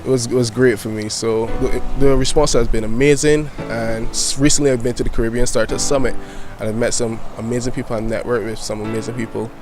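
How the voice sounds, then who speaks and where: A man's voice: medium pitch, little pitch movement, a little fast. A nineteen-year-old man, a youth media founder and poet, answering interview questions eagerly with many fillers. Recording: clear music playing behind.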